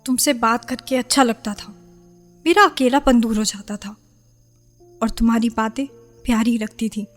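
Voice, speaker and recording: A woman's voice: on the high side, fairly even intonation, normal speed, long pauses, faint music behind, natural voice. An actress playing an anguished young woman in a radio drama, pleading and defensive, torn between her lover and her parents. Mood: tender, wistful. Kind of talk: Hindi radio play acting.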